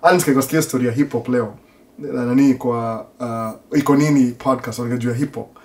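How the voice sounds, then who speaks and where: A man's voice: mid-range voice, fairly even intonation, fairly quick, a little mellow, distant mic. A middle-aged Kenyan man with an American tinge to his accent, a native Swahili speaker, chatting casually in a rambling, self-repeating way as a podcast host. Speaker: podcast host answering listener questions. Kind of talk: casual chat mixing Swahili and English.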